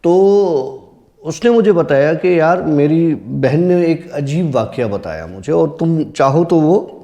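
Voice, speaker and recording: A man speaks in a dark, relaxed tone that sits slightly high. He tells stories conversationally, in a serious and earnest way. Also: slow pace, dull audio. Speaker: storyteller guest on a horror story show. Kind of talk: casual conversational storytelling.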